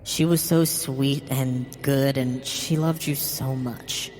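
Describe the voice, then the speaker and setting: A man voicing a bitter, grieving old mother in a horror story, wounded and resentful, confessing haltingly. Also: medium pitch, steady tone, darkish tone, voice pushed up, close to an everyday voice. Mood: hurt disbelief. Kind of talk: dramatic horror story reading. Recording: long hall reverb. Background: music.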